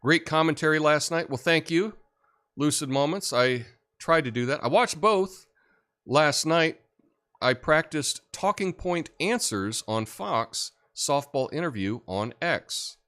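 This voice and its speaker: A deep-voiced man hosting a livestream, chatting casually and reading viewer comments with lots of fillers. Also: measured pace. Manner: rambling, relaxed, many fillers and restarts. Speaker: livestream host.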